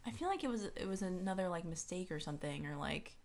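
A woman podcast co-host with a voice a little on the low side. She talks through books in a loose, informal way. She often poses questions and works out her thoughts as she speaks. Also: animated, quick pace, darkish tone. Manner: informal, questioning, thinking aloud. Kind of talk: casual chat discussing books.

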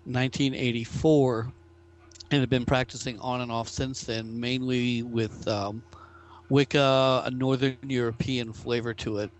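Man, American, podcast guest, deliberate, academic tone. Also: mid-range voice. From United States. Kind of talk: casual discussion.

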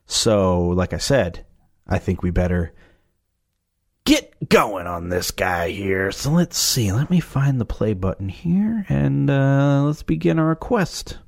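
A man's voice: lowish voice, animated, slow pace. Scratchy-voiced man joking through a movie. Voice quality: scratchy, raspy. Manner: joking, sarcastic. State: has a cough. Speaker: host reviewing a movie. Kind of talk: casual movie watch-along commentary.

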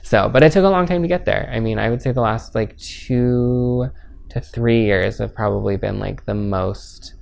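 A man's voice: medium pitch, normal speed, gravelly, darkish tone. A man in his twenties talking openly in a casual interview, easygoing and candid, with many filler words. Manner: chatty, frequent filler "like".

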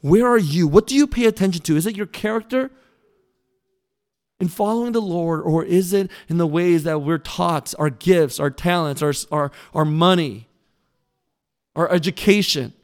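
Male pastor, church sermon, earnest and pleading, crisp resonant voice pitched a bit high. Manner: earnest, pleading, with emphatic pauses. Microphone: mic that briefly cut out.

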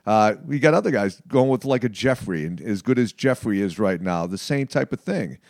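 A man in his late fifties speaking conversationally in an interview, in a halting, emphatic way with frequent check-ins. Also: on the low side, expressive, a little mellow, no echo, clean studio sound. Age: late 50s. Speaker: martial arts practitioner being interviewed.

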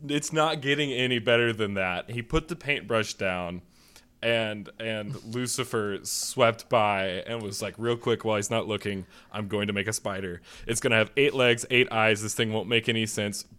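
A man's voice: on the low side, moderate pace, fairly bright tone, smooth. Joking, rambling podcast co-host, man. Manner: jokey, rambling storyteller. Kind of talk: casual comedic chat.

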